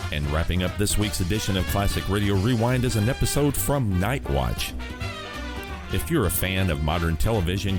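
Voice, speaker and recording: A man hosting a classic radio program, reading a steady, scripted introduction. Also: low-pitched. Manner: steady, informative. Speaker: host. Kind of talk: scripted narration. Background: guitar music.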